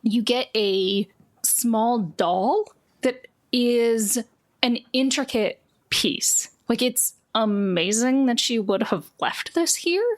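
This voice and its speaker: A woman's voice: on the high side, slow. A woman game master narrating a tabletop game in a casual, improvised, playful way.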